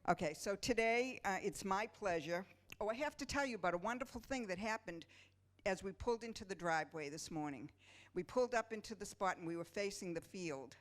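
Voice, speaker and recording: A woman's voice: medium pitch, lively intonation, fairly quick. A woman giving an informal, somewhat hesitant welcome speech to a congregation as the director of an amateur play. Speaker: amateur play producer and director introducing a performance. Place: church during a Sunday service.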